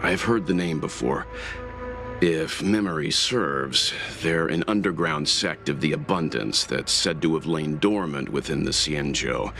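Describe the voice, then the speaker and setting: A male actor playing a composed, thoughtful military companion in a game, speaking in a measured, formal, serious manner. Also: very deep voice, creaky, heavily acted. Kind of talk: scripted game dialogue. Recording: music behind the voice.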